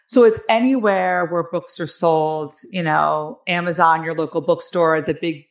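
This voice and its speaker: A woman in her forties with a raspy, nasal New Jersey accent, telling her own story candidly and emphatically as an interview guest on a video call. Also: low voice, fairly even intonation, measured pace. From New Jersey, United States. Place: small room at home, on a video call. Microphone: headset on a video call.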